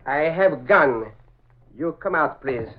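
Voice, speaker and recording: Menacing Japanese spy, clipped English. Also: male voice, fairly high pitch, animated, slow pace. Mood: cold menace. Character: cold, sinister Japanese spy villain with stiff broken English. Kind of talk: 1940s radio acting. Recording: muffled, noisy old radio recording.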